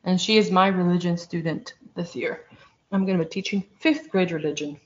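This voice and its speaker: A woman co-hosting a casual chat, talking in a rambling, lively way about her week as a church school teacher. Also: lowish voice, moderate pace. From outside the United States. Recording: dull lo-fi sound.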